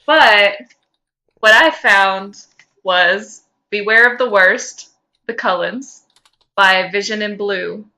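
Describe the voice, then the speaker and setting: A woman co-hosting a podcast, informal and expressive, moving between casual chat and reading fan fiction aloud. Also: mid-range voice, slow. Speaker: podcast co-host.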